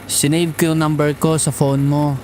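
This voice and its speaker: Woman acting a low-voiced, laid-back Filipino regular. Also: little pitch movement, moderate pace, a little mellow, a little hiss or hum, natural voice. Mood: inviting. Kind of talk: Filipino audio drama acting. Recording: faint music behind the voice.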